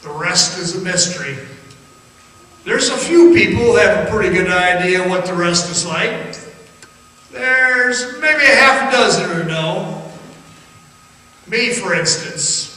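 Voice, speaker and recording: Man acting slow, echoing haunted old-time miner storyteller. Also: high-pitched, expressive, pushed and bright, exaggerated voice. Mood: musing, conversational. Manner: folksy, rambling, ominous, halting with pauses. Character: haunted middle-aged former copper-mine guide recounting a dark tale. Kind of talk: radio theatre monologue, folksy storytelling. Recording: roomy echoing hall, distant mic, faint noise.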